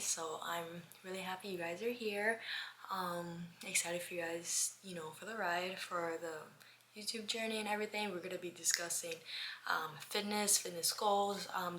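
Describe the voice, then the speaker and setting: A woman in her twenties from Miami, chatting casually while doing her makeup on her first vlog, upbeat with lots of fillers and some soft whispery asides. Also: lowish voice, a little hiss or hum. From Miami, United States. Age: 20s. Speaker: vlogger. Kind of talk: casual get-ready-with-me chat.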